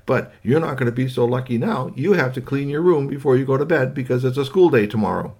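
A man voicing a mother scolding her young son, with high, shrill exclamations. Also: fast talker, a little mellow, slightly rough. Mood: stern.

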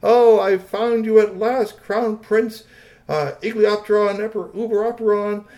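A man voicing a huge, overjoyed alien lord who hoots and exclaims reverent greetings in a bedtime story. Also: unhurried. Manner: shrill hooting whoops, loud exclaimed greetings.

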